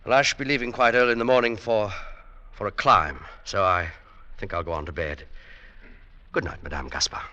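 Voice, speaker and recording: Low, sharp-edged, world-weary businessman. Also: a little fast, lo-fi sound, a little hiss or hum. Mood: melancholy, evasive. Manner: brooding, with trailing hesitations. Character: world-weary widowed businessman, quietly resolved and secretive. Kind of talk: old-time radio drama acting.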